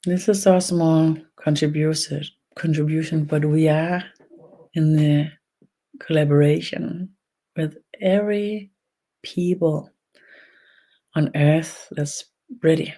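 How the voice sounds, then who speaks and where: Slow, creaky Danish-accented spiritual guide. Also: mid-range voice, steady tone, mellow, poor audio. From Denmark. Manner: halting, with long pauses between short phrases. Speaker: spiritual energy healer leading an online session. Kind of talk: slow guided meditation and channeled talk.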